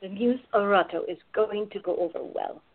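This is an actress playing a protective, wry woman in a radio play. Her voice is husky and mid-pitched, with a dry, grumbling wit. It comes through thin, like a phone line. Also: normal speed, lightly acted. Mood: dry, teasing. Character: Ava, tall wry protective woman in love. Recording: narrow phone-like sound.

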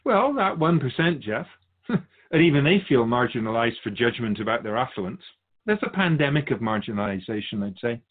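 A man voicing a wise, patient spirit who observes humankind, explaining and questioning in a measured conversational way on a radio show. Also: medium pitch, big pitch swings. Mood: wry. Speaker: guest sage on a radio show. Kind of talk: conversational philosophical teaching. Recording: thin phone-like band.

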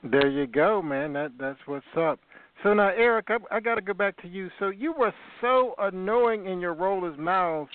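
A man's voice: sits high, noisy background. A man hosting a call-in talk show and interviewing guests in an effusive, enthusiastic way. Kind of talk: casual radio interview. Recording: tinny phone line, dry.

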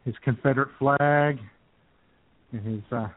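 A man's voice: mid-range voice, steady tone, a little slow. A man co-hosting an internet radio show, bantering casually and sarcastically about the news over a thin, phone-like sound. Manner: sarcastic, joking.

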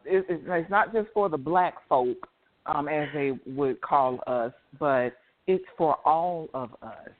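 A man co-hosting a call-in show, tired and slightly raspy, speaking earnestly with stammers and restarts. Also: a little high, slow pace. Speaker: co-host, guest doctor. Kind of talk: casual talk show over a phone line. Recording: tinny phone line.

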